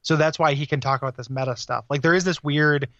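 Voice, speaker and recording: A man's voice: a little high, fairly even intonation, fast, fairly bright tone, no echo, lo-fi sound. A man hosting a casual game podcast, rambling with lots of fillers and frequent laughs. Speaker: podcast host.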